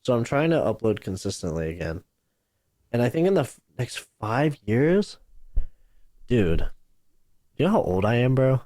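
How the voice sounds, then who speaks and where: A man's voice: medium pitch, very animated, moderate pace, pauses often, a little mellow, no room sound. A man in his twenties, a YouTuber chatting casually on a podcast, laid-back and saying "like", "dude" and "bro" often. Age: 20s.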